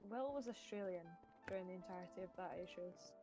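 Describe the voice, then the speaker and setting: Fast, blunt young woman. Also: on the low side, music in the background. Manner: blunt, sarcastic. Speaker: debate participant. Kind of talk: casual chat.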